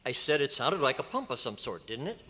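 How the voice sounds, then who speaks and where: Man playing earnest, animated reporter, echoey hall. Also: mid-range voice, brisk. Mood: curious. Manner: polite, questioning, dramatic. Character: earnest, inquisitive male newspaper reporter, astonished. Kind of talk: old-time radio drama recreation. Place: hotel convention meeting room. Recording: echoey hall, live stage performance.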